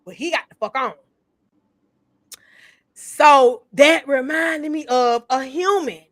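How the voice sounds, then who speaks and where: A woman's voice: sits high, slow pace, a bit bright, no echo, poor audio. A woman in her thirties chatting casually on a panel, blunt and emphatic, with a lot of swearing. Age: 30s. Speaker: podcast panelist. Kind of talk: casual chat.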